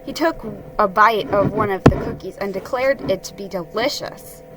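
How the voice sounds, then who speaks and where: A woman storyteller giving an expressive, deliberate dramatic reading of a children's story. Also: mid-range voice, loud music behind the voice, low-quality recording.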